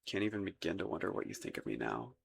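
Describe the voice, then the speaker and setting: A man's voice: sits low, very animated, fast talker, vocal fry, a little hiss or hum, exaggerated voice. A young man voicing a shy, awkward crown prince in a romantic audio roleplay, stumbling over his words out of embarrassment. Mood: embarrassed. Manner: flustered and self-deprecating, stumbling over words. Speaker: ASMR voice actor.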